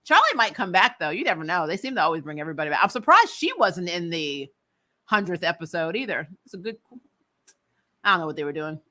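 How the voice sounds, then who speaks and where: A host chatting casually and reacting to viewer comments in an animated, giggly way. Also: medium pitch, fast talker. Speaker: show host. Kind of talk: casual chat reading and answering live comments.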